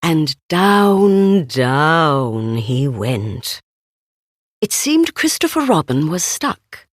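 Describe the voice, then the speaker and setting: A woman reads a children's storybook at an unhurried, slow pace. Her pitch moves expressively up and down, and the recording is dry and close. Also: very animated, no echo. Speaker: storybook narrator.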